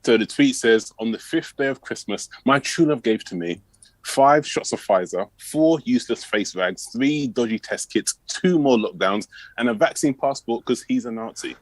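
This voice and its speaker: A man's voice: medium pitch, expressive, normal speed, dry recording, poor audio. A man, a comedian guest, chatting casually and reading a post aloud.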